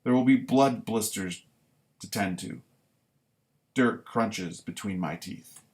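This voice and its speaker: A man reading his own writing live and commenting on it casually, in deliberate, halting short phrases. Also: on the low side, darkish tone. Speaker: writer reading own work; former military recruit.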